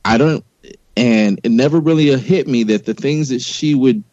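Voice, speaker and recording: A man's voice: medium pitch, normal speed, darkish tone, dry recording, muffled recording. A man talking in a loose, casual way as a guest on a podcast discussion.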